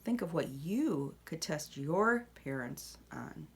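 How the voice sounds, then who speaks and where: A woman reading a children's picture book aloud expressively, with dramatic pauses and hushed asides. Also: sits low, big pitch swings, vocal fry, slight background noise.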